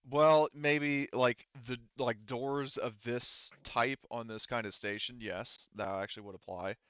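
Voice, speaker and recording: A man acting as game master of a tabletop roleplaying game, improvising in a halting way and thinking aloud. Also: mid-range voice, fairly even intonation, fairly bright tone, clear, resonant tone, no echo, lo-fi sound.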